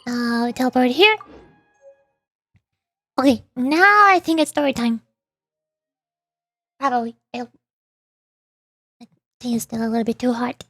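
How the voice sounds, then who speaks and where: A woman's voice: on the high side, unhurried, pauses often, a bit bright, no echo. A woman livestreaming a video game, chatting casually in a playful, rambling way and muttering little asides to herself. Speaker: livestream host, VTuber playing a video game. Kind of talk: casual gaming stream chat. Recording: music behind the voice.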